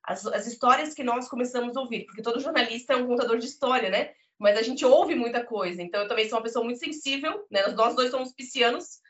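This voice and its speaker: A woman's voice: on the high side, fast, hardly any pauses. Earnest Brazilian woman, muffled audio. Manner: earnest, emphatic storytelling with frequent "né?". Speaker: podcast guest. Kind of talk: conversational interview.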